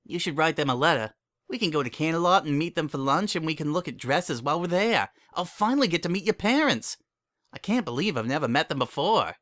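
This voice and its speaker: A man's voice: a little high, expressive, fairly quick, fairly bright tone, no room sound, studio quality, pitched above the performer's own voice. A man voicing a fretful, sensible female pony fiancée with an earnest manner in a story reading. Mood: worried, eager. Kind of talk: dramatic reading of fan fiction.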